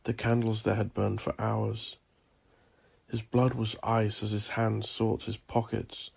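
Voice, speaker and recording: A man reading dark horror fiction as a narrator, in a brooding, ominous and theatrical manner. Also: lowish voice, vocal fry, breathy edge. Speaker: narrator of a horror audio drama. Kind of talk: dramatic gothic scripted narration.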